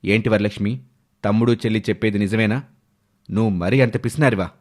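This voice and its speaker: A man's voice: fairly low pitch, brisk, pitched below the performer's own voice, natural voice. Man playing an indignant, hardworking husband. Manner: scolding, questioning. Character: indignant hardworking husband, protective elder brother. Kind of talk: animated moral story voice acting.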